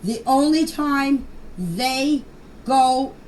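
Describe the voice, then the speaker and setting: An older woman with a high voice gives a blunt, emphatic personal account. She talks slowly and deliberately, as in an unscripted voice message. The amateur clip is noisy and picked up from a distance. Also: animated, a little mellow. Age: 60s or older. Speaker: grandmother giving her personal account.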